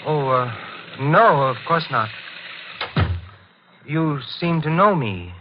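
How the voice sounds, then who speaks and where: An actor playing a nervous, brooding, secretive husband in 1940s radio style, speaking in a hesitant, measured, confessional way. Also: male voice, on the high side, lively intonation, noisy background. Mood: uneasy. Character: nervous, brooding older husband, secretive bird keeper with murderous past. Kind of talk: 1940s radio acting, first-person narration. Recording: muffled old radio, loud music behind.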